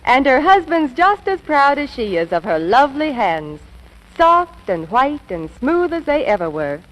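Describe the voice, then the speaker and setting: A woman's voice: high voice, big pitch swings, measured pace. A woman playing a chatty storyteller in a 1940s radio advertisement, gushing like she is telling a bedtime story. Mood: gushing, confiding. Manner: gushing, theatrical storybook delivery. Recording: noisy old radio broadcast recording.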